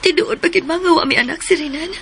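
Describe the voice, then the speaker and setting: A woman's voice: sits high, fast talker, fairly bright tone, a little hiss or hum. An actress voicing a troubled young married woman haunted by a child's cry, pleading and tearful in a radio drama. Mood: confused.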